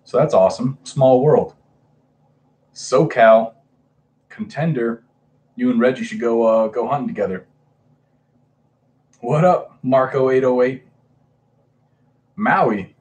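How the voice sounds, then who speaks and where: A man's voice: mid-range voice, slow pace, pauses often, a little mellow. A man in his thirties casually chatting on a livestream, greeting viewers by name with lots of hesitant fillers. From Hawaii. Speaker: comic book livestreamer.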